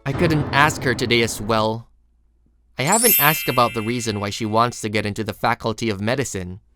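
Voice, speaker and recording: An actor playing a studious, reserved teenage high school boy who narrates and speaks in a manga video, introspective and a little hesitant. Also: brisk, sharp tone, soft background music, lightly acted. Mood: reflective.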